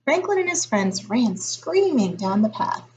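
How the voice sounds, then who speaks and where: A woman reading a picture book aloud as a teacher would, in a deliberate, expressive storytelling style. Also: fairly high pitch, noisy background.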